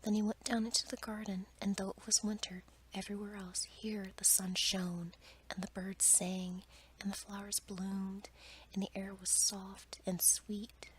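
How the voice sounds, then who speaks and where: A woman whispers a fairy tale in a soft, breathy, careful ASMR bedtime-storytelling style. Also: medium pitch, level tone, measured pace, a little hiss or hum, poor audio. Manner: soft, careful read-aloud delivery.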